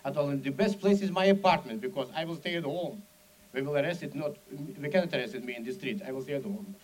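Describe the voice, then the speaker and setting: A man from the Soviet Union with a Russian accent gives a public speech in broken, non-native English, telling his story in an animated, dramatic way with emphatic asides. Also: a little high, fast talker, rough recording. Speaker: speaker at an event.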